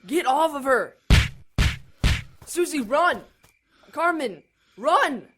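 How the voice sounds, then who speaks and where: A woman's voice: sits high, sing-song, very slow, noisy background, full character voice. A woman acting an anxious, earnest, protective teenage brother in an audio drama, hesitant yet urgent. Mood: alarmed. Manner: hesitant, urgent, literal-minded.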